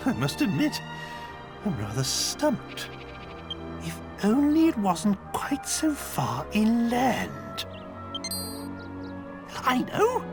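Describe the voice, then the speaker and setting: A fussy little old monster king with a British accent. His pitch leaps and dips wildly as he exclaims theatrically at an unhurried pace. Loud game music plays behind him. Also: full character voice. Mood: excited, urgent. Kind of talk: video game cutscene voice acting.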